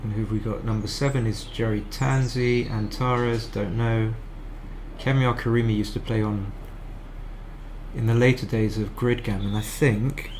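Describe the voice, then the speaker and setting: A man's voice: fairly low pitch, steady tone, a little slow, a little mellow, a little hiss or hum, poor audio. A man giving casual live commentary on a match as a streamer, chatty and thinking aloud with frequent restarts.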